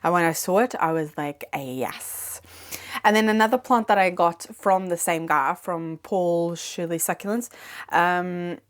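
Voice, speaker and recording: Chatty woman with a lowish voice. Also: measured pace. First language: not English. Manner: chatty, rambling, with many fillers. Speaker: plant vlogger. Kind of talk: casual chat. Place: home, indoors.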